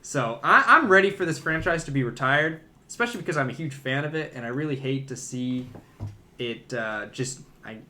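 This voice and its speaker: A man talking casually as a podcast host in a relaxed back-and-forth movie discussion. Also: medium pitch, brisk.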